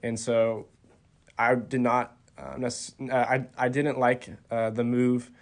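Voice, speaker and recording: A college-aged man being interviewed on a podcast, soft-spoken and hesitant, with many ums and restarts. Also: medium pitch, level tone, slow pace. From Texas. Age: 20s. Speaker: college golfer, podcast guest. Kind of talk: casual interview talk.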